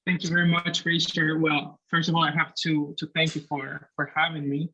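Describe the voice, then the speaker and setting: Male panelist, twenties, native Spanish speaker with Dominican accent, slightly high voice, a bit lo-fi. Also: level tone, normal speed, bright, forceful tone, slight background noise. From Dominican Republic. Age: 20s. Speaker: human rights lawyer on a panel. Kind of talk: introducing himself in a discussion.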